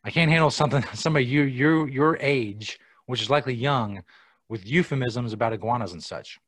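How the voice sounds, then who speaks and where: A man's voice: medium pitch, fairly quick, a bit bright, no echo. An American man hosting a live podcast, chatting casually with a profane, sardonic tone and muttered asides. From United States. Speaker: podcast host. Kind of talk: casual live show chat.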